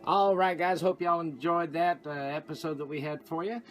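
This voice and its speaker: A man's voice: fairly high pitch, fairly even intonation, moderate pace, faint music behind. A man hosting a show, chatting casually as he signs off. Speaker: podcast host. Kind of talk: casual sign-off chat.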